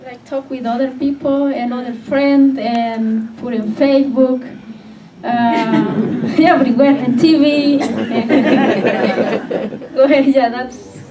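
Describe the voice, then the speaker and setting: A young woman, a native Spanish speaker, answering questions at a public event in halting English full of fillers. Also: sits high, steady tone, a loud crowd. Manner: hesitant, filler-heavy non-native English. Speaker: author sharing her story. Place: community media event room. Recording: distant, echoey with faint noise.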